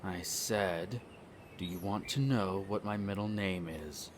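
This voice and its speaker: A man playing a smug, bitter vampire noble, the eldest son, in an audio roleplay, speaking in a haughty, sardonic way with drawn-out theatrical phrasing. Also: low-pitched, noisy background. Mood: bitter, brooding. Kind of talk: scripted audio roleplay acting addressed to the listener.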